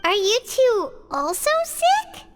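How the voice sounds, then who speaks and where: A woman playing a tiny, excitable, childlike fairy companion in a game, with a squeaky, earnest delivery. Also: slow pace, loud music behind the voice, full character voice. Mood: worried. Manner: squeaky, earnest, refers to self in third person. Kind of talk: English game dub acting.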